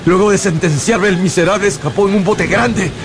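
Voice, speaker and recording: A man's voice: high voice, brisk. An actor playing an anguished, haunted man who recounts his ordeal in a theatrical, fearful radio drama narration. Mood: anxious, bewildered. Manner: theatrical, fearful, repeats words for emphasis. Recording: studio radio drama with music bed.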